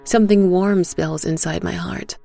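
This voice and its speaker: A woman giving scripted first-person narration for a fiction podcast in a soft, measured, dramatic manner. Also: fairly low pitch, fairly even intonation, vocal fry, soft background music.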